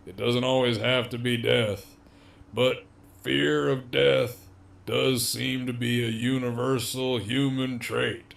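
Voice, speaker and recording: A man acts out an imprisoned gang leader in an audio drama, cocky and wryly amused. His voice is gravelly with heavy creak. He takes his time over each line, drawling with swagger and quiet menace. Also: mid-range voice, little pitch movement, slow pace. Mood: sly, amused. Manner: swaggering, foul-mouthed, drawling.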